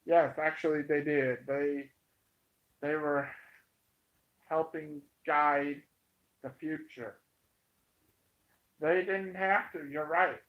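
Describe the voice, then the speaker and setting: An American man giving an informal, opinionated monologue to viewers, emphatic and halting, repeating himself to drive points home. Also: on the high side, steady tone. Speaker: online commentator. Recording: dull, muffled lo-fi sound.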